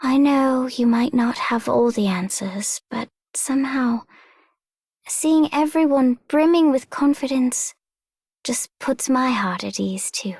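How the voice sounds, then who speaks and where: A gentle, wistful young video game character. The voice is fairly high, soft and breathy, tender and earnest, recorded dry and close. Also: female voice, slow pace, no echo, exaggerated voice. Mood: wistful, hopeful.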